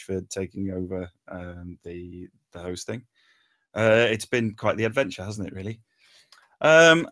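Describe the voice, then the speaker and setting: A man giving informal spoken feedback in a hesitant, halting way with many ums, on an online call. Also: fairly low pitch, a bit bright. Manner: hesitant, halting, frequent long pauses and ums. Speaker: table read participant giving script feedback.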